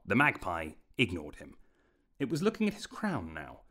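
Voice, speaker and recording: A man's voice: low-pitched, huge pitch swings, moderate pace, sharp tone. A man reading scripted narration for a fantasy story.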